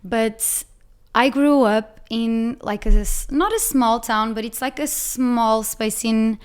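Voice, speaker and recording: Young woman with a Portuguese accent, casual podcast host, crisp voice pitched a touch high. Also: takes it slowly. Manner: chatty, many "like" fillers. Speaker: podcast host and ceramic artist. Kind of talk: casual Q&A chat.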